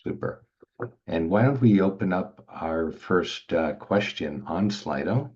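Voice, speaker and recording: Older man with a very deep voice on a dull call. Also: expressive, slow, darkish tone. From Montreal, Canada. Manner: loose, chatty, greeting people by name. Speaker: organization founder and webinar co-host. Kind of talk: casual webinar chat. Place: home, on a video call. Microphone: video call laptop mic. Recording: dull, lo-fi video call audio.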